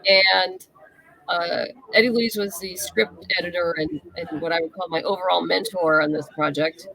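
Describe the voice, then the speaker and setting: A woman's voice: fairly low pitch, steady tone, normal speed, vocal fry, crowd noise, poor audio. A woman speaking as a guest in a casual interview, candid and explanatory. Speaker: interview guest, comic writer and novelist.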